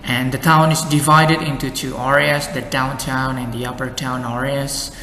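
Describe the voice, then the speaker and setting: A young adult man with an Indonesian accent, an English exam tutor explaining slowly and carefully with model answers. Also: medium pitch, little pitch movement, sharp tone, a little hiss or hum. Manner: slow, careful explaining with many "and then" links. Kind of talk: lesson with sample answers. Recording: echoey room with faint ambience.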